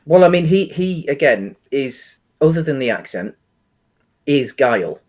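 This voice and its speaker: A man co-hosting a podcast, discussing film casually in a halting, explanatory way. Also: mid-range voice, sing-song. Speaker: podcast co-host.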